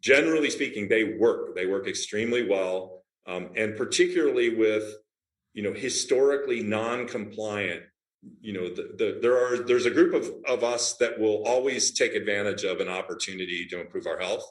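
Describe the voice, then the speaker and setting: A man with a lowish voice explains his work in a measured, businesslike way. He pauses with fillers and restarts now and then. The sound has a noticeable roomy echo. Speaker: healthcare company CEO, guest. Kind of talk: interview answers.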